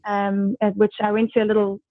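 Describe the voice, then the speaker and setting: A woman's voice: medium pitch, completely flat delivery, brisk, dry recording. A young South African woman from Durban, a guest chatting on a video call, talkative with lots of laughs and fillers. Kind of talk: casual video-call chat. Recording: dry tinny call audio.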